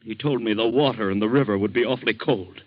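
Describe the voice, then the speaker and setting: A male actor plays an anxious middle-aged father haunted by doom. His voice sits low and his delivery is dramatic and halting. The sound is a muffled 1940s radio drama recording. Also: lightly acted. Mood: shaken.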